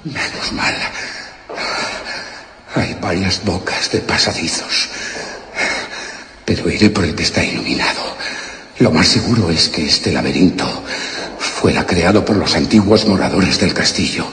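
Anxious, deep-voiced adventurer in echoey noise. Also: male voice, lively intonation, a little slow, pauses often, a bit bright, full character voice. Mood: relieved, musing. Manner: dramatic, thinking aloud to himself. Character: César, man trapped in castle tunnels, anxious and determined. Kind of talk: Spanish radio suspense drama acting. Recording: echoey, very noisy radio drama recording.

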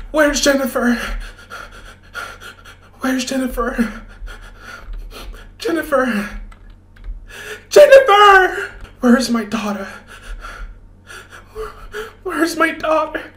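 A woman's voice: high voice, big pitch swings, extremely slow, pauses often, exaggerated voice. A woman playing a frantic, enraged mother in a repetitive, demanding, melodramatic roleplay. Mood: wailing. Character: frantic, enraged mother hunting her stolen daughter. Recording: echoey room.

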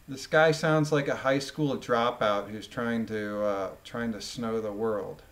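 A man reading a document aloud and adding informal, emphatic commentary with halting phrasing. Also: mid-range voice, fairly even intonation. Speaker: commentator, former police officer.

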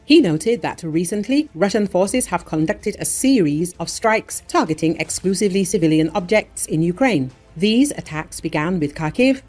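A woman reading scripted news in a measured, formal voice-over. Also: on the low side, lively intonation, darkish tone, soft background music. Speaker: news narrator.

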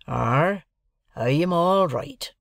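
A performer voicing a sullen, spiteful young journeyman blacksmith with a gruff, growling, rustic working-class way of talking in an audiobook. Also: mid-range voice, lively intonation, drawn-out words, a bit bright, no echo, studio quality, voice pushed up, exaggerated voice. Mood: demanding, gruff. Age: young adult.

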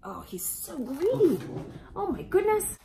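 A woman in her thirties reading a picture book aloud to children with expressive, exclamatory delivery and dramatic emphasis. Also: very high voice, a little slow, a little hiss or hum. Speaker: children's storyteller.

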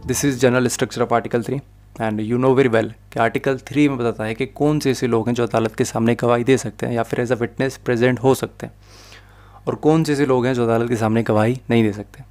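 Brief: male online law tutor, monotone delivery, plain explanatory lecture. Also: medium pitch. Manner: mixes English into explanations, steady explaining tone. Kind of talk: lecture over animated slides.

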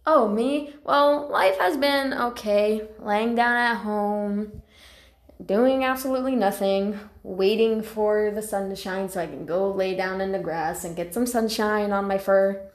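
A woman voicing a friendly, excitable young female house cat with an earnest, slightly hesitant delivery, in amateur cartoon voice lines. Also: medium pitch, a little slow, lightly acted. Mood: casual, resigned. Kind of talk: amateur character voice lines, acted. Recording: echoey room.

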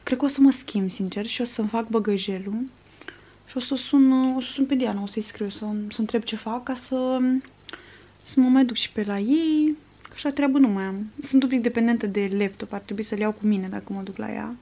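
A woman's voice: on the high side, warm tone. A woman in her twenties from Romania, a vlogger chatting to camera in a casual, rambling, conversational way. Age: 20s. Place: small furnished room at home, indoors.

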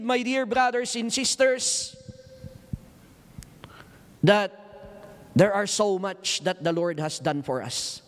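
A man's voice: very high voice, a bit bright. A middle-aged Filipino man preaching a sermon, often stopping to ask his congregation "Amen?". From Philippines. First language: Tagalog. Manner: repeats call-and-response "Amen?" to the congregation. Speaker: pastor. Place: church hall. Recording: long church hall reverb.

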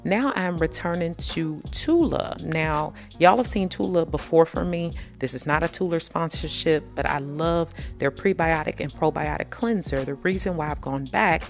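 Chatty woman in her 30s narrating a beauty vlog; low, gravelly voice, soft music underneath. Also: normal speed, few pauses. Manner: chatty, addresses viewers as y'all. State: pregnant. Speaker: beauty vlogger. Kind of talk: voice-over narration of a self-care routine.

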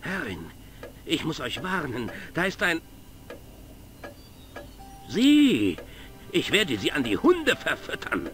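A man's voice: a little high, wildly swooping pitch, slow pace, pauses often, music in the background, exaggerated voice. An actor playing a hot-headed, servile manservant of a noble lady in a video game, theatrical and threatening. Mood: alarmed, threatening. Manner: theatrical, threatening toward intruders, fawning to his mistress.

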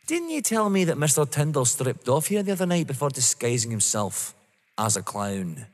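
Man playing smug police inspector, animated delivery. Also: medium pitch, lightly acted. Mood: accusing. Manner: measured, formal, insinuating. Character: methodical, smugly polite male police detective inspector. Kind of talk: audio drama acting. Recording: long hall-like reverb.

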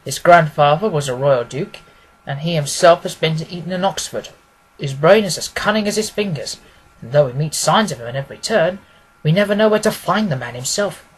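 A man's voice: fairly high pitch, animated, a little fast, breathy edge, low-quality recording. A man voicing a self-important, blustering police agent in a storybook, lofty and pompous. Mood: grudging admiration. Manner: pompous, consequential.

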